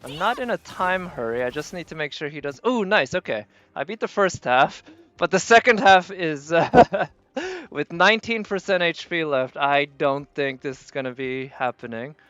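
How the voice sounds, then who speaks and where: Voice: medium pitch, expressive, normal speed, fairly bright tone, faint music behind. A game livestreamer with a mid-range voice commentates on their own play in a casual, unscripted way. They talk to themself as they go, with the odd mild swear word. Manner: talking to self while playing, mild swearing. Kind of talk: casual live gameplay commentary.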